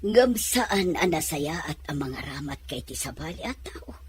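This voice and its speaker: Low-pitched, worried Ilocano mother played by a woman. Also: big pitch swings, a little slow, slight background noise. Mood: worried, scolding. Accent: Filipino, Ilocano. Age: middle-aged. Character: middle-aged Ilocano mother, meddling, worried, cautioning. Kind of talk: Ilocano radio-style drama acting.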